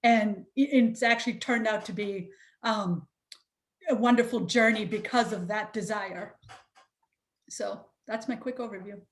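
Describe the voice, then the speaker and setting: A woman's voice: mid-range voice, fairly even intonation, measured pace. A woman entrepreneur answering as a panel guest, chatty and fluent, speaking over a video call. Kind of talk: conversational answer.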